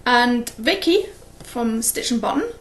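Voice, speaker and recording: A woman's voice: a little high, expressive, a little slow. A woman hosting a cross-stitch video, chatting casually and rambling, often laughing at her own stories. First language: English. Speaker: flosstube host. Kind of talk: casual chat to camera.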